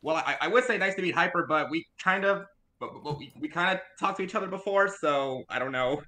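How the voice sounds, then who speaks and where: A man's voice: on the high side, a little fast, pushed and bright. A nervous man who stammers and repeats words while asking a question at a panel. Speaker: audience member asking a question. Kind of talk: casual panel Q&A talk.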